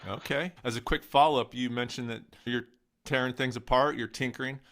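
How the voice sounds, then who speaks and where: A man's voice: medium pitch, fairly even intonation, a little fast, fairly bright tone. A man co-hosting a tech podcast, upbeat and friendly as he introduces and questions a guest. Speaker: podcast co-host.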